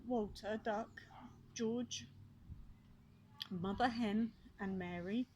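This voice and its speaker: An older woman reading a children's story aloud, expressively, with gently chatty asides to young listeners. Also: medium pitch, drawn-out words, poor audio. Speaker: grandmother reading a children's book. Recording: clear background noise and clicks.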